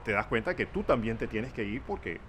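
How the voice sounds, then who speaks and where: Older man, sixties, low voice, hesitant, many fillers, faint street traffic. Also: expressive. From Venezuela. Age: 60s. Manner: hesitant, halting, heavy on fillers. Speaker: interviewee, father. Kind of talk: documentary interview. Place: outdoors at a city street corner.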